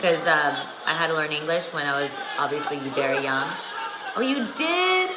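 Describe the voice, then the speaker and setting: A woman hosting a casual boxing live stream, chatting excitedly with her viewers. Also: low-pitched, moderate pace. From United States. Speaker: boxing show host. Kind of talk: casual live-stream chat over a fight broadcast. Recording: echoey room with loud fight broadcast behind. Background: televised boxing commentary and crowd.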